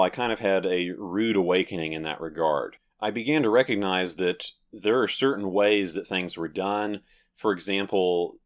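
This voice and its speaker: A man talking alone to his audience, explaining his religious views in a careful, measured way and often repeating and rephrasing his points. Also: sits low, a bit bright. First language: English. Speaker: religious video host. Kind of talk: solo talk to camera, measured explanation.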